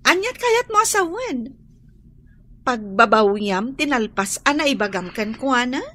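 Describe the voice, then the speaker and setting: An actress playing an outspoken, protective young best friend in an Ilocano radio drama, giving emphatic advice. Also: female voice, on the high side, very animated, measured pace, fairly bright tone, full character voice. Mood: concerned.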